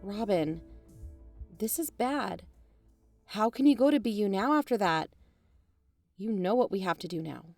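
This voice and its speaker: A woman's voice: mid-range voice, animated, unhurried, pauses often, a little mellow, no echo, soft background music. A woman playing a pragmatic documentary-maker and concerned friend in an audio drama, conversational, frank and pressing. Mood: concerned, urgent. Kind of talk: naturalistic audio drama acting.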